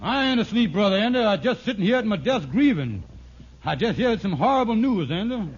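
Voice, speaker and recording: An actor playing a scheming, fast-talking lodge boss in a 1940s radio sitcom, folksy and ungrammatical, with a hesitant, wheedling manner. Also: male voice, high voice, big pitch swings, breathy edge, exaggerated voice. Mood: mock grieving. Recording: muffled, noisy old radio recording.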